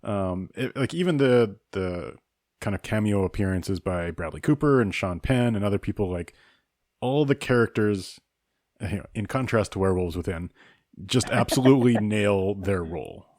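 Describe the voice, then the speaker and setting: Low-voiced man discussing films. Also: darkish tone, no room sound, studio quality. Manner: measured, knowledgeable, opinionated. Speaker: podcast co-host. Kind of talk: casual film review chat.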